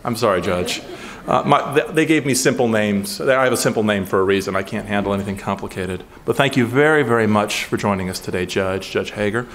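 A man's voice: fairly low pitch, brisk, a bit bright. A man in his thirties hosting an event at a lectern, chatty and joking, stumbling over names as he welcomes guests. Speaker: event host. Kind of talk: casual opening remarks. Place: conference hall, at a lectern. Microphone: lectern microphone.

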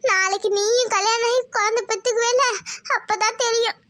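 A woman's voice: extremely high pitch, steady tone, a little fast, a bit bright, no room sound, voice pushed up. A woman voicing an anxious village mother, tearful and fretful over lost wedding money. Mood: scolding, fond.

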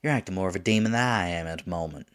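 A lovesick demon acted by a man in an intimate ASMR audio roleplay. His voice is very low, gravelly and husky, and he speaks tenderly and pleadingly to his angel lover, with folksy turns of phrase. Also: measured pace, fairly bright tone, exaggerated voice. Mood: earnest, teasing.

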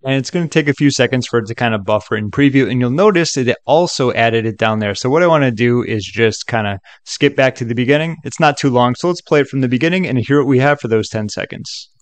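A man giving a chatty, upbeat, casual software walkthrough as a tutorial presenter. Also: medium pitch, fast, few pauses, no echo. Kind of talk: casual screen-recording walkthrough.

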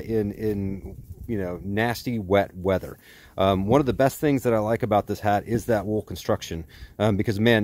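American male, 40s, lowish voice, folksy outdoor product reviewer, recorded in a backyard. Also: a little mellow.

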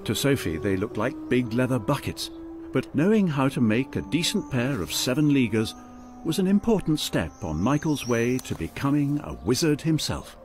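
An actor playing a wry storybook narrator, relishing each descriptive word in scripted radio drama narration. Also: male voice, mid-range voice, very animated, normal speed, a little mellow, slight background noise, lightly acted.

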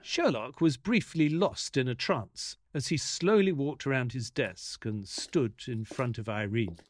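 An actor playing an eager, earnest detective's assistant who narrates an audio drama like a storyteller. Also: male voice, medium pitch, lively intonation, measured pace, dry recording, studio quality. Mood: narrating.

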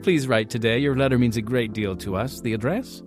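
A man hosting an evangelistic radio program, reading a script in an earnest, deliberate, clearly enunciated announcer style. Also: medium pitch, faint music behind.

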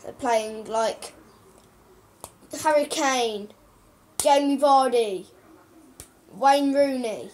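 A boy playing a croaky hesitant newsreader lady. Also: very high-pitched, very slow, pauses often, slight background noise, heavily acted. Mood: earnest. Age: child. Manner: hesitant, full of ums and restarts. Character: female TV newsreader, chatty and hesitant. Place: small room indoors. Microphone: webcam at close range.

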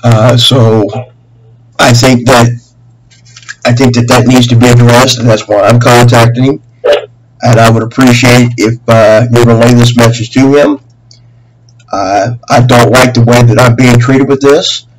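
A middle-aged man making a rambling, aggrieved and earnest complaint as a caller, halting and repeating himself in long winding sentences. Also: mid-range voice, little pitch movement. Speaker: caller to a government office. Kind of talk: spontaneous phone complaint, rambling monologue. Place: small room at home, indoors. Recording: humming noisy home webcam recording.

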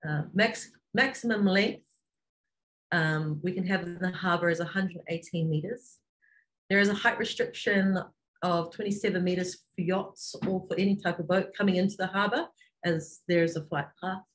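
A woman's voice: lowish voice, moderate pace. A middle-aged woman from the Cook Islands giving an informative slide presentation over a video call from an office. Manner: steady, informative, lists place names. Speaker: marketing manager presenting on webinar. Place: office room indoors. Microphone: video call webcam.

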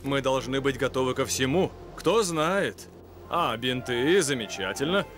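Bright, commanding cartoon gentleman lion. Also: mid-range voice, animated, unhurried, soft background music. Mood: composed. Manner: crisp, formal, commanding. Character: composed, courteous gentleman lion expedition leader. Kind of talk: dubbed cartoon acting.

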